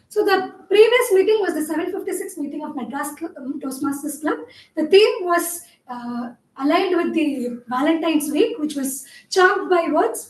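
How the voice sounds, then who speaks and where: A woman, the club secretary, reading the minutes of the last meeting in a formal, measured way. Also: very high-pitched, darkish tone. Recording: echoey hall, distant mic.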